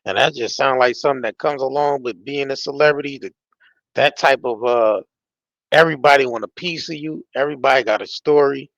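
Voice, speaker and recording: A man's voice: mid-range voice, a little fast, gravelly, a bit bright, no echo, lo-fi sound. An American man giving casual, off-the-cuff commentary in a colloquial, conversational way, often checking that the listener follows. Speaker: reaction show commentator.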